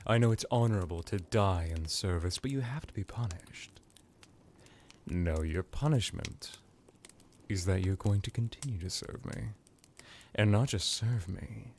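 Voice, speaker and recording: A man's voice: on the low side, lively intonation, a little slow, a little mellow, slight background noise. A man playing an ancient vampire lord in an ASMR roleplay, seductive, teasing and menacing, with a theatrical delivery that drifts into whispers. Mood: possessive, teasing.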